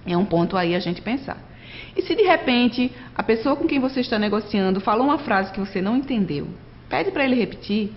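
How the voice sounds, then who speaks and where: A woman's voice: a little high, lively intonation, a little fast, dull audio, a little hiss or hum. A woman teacher giving a recorded distance-learning lecture, talking conversationally and often checking in with tag questions. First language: Portuguese. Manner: conversational, frequent tag questions, addresses students directly.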